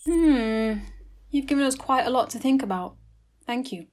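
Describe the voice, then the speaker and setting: A woman playing a brisk, wry detective questioning suspects in an amateur comedy murder mystery. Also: high voice, lively intonation, a little mellow, faint music behind. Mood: brisk, probing.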